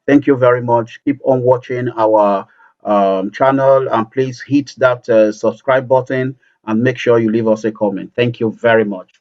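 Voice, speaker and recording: A male interview host who talks haltingly with many fillers, wrapping up a remote interview. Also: medium pitch, steady tone. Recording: dull sound with faint music.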